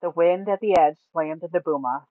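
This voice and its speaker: A woman storyteller reading scripted fantasy narration aloud in a measured, dramatic way. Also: lowish voice, dry recording. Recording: narrow, phone-like sound.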